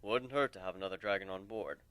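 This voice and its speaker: Man acting a world-weary lone warrior, lowered voice, grave, measured, dry sound, dramatic story reading. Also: fairly bright tone, clear, resonant tone, dry recording. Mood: calm advice.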